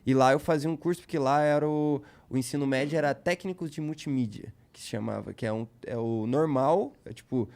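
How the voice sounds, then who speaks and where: A man's voice: mid-range voice, brisk, vocal fry, few pauses, darkish tone. A man with a Brazilian Portuguese accent, a guest in casual podcast chat, telling a long story loosely with slang and many fillers.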